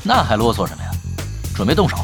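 An actor playing a sly, laid-back veteran gamer in an audio drama, with a dry, teasing manner. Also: male voice, on the low side, wildly swooping pitch, fast talker, gravelly, over music, full character voice. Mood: calm, wry.

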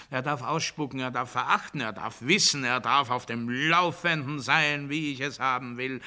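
Radio play lead: menacing, grandiose male prisoner, piercingly bright and fairly high voice, precise officious delivery. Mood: gloating, urgent. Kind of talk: literary radio play monologue.

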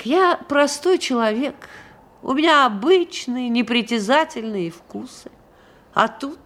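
An actress playing a showy prima donna in a radio play, speaking in an affected, theatrical and falsely modest way. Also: female voice, on the high side.

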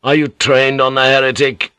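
Man playing furious proud naval commander, snarling, barked orders, raised pitch, forceful, audiobook drama. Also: level tone, normal speed, smooth, dry recording, natural voice. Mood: angry, snarling. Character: enraged Portuguese captain general, proud warship commander, snarling.

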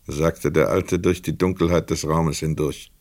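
A man's voice: rumbling low, steady tone, a little slow, a little mellow, no echo. A man narrating a literary crime radio play from a script.

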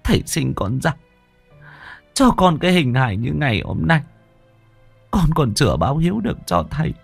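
A man's voice: big pitch swings, normal speed, gravelly, husky, no room sound, faint music behind, full character voice. A man voicing a young village daughter who cries and pleads with her father. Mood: sobbing. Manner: sobbing, high-pitched pleading.

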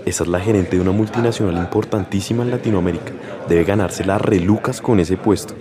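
A man playing a gossipy Colombian guy in a radio sketch. He chatters very quickly in a lowish voice, with a busy crowd around him. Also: close to an everyday voice. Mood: impressed. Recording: busy crowd noise behind.

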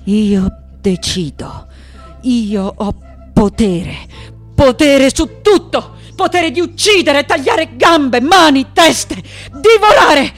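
A woman's voice: on the low side, expressive, measured pace, pauses often, breathy edge, faint music behind. An actress playing an elderly, defiant, vengeful woman sculptor. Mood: raging, powerful. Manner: intense, theatrical, swinging from hushed to loud. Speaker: actress in a radio play. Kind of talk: dramatic radio theatre monologue.

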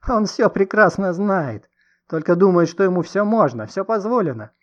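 A man voicing a furious, hysterical woman in an audiobook, loud, agitated and accusing. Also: high-pitched, lively intonation, moderate pace, lo-fi sound, voice pushed up. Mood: bitter, scornful.

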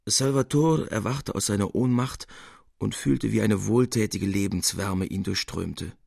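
A man narrating a literary tale expressively, with measured, theatrical emphasis. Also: lowish voice, gravelly, a little mellow, no echo, studio quality.

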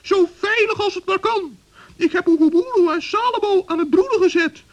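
A man voicing a small, brave, cheerful woodland gnome in a children's radio play, lively and eager. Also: very high-pitched, moderate pace, pitched above the performer's own voice. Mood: reassuring.

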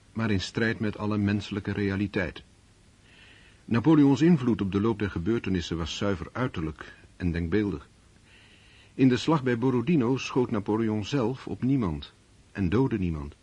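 A man acting as the sober narrator of a historical war story in a radio play, measured and reflective. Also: fairly low pitch, darkish tone, husky.

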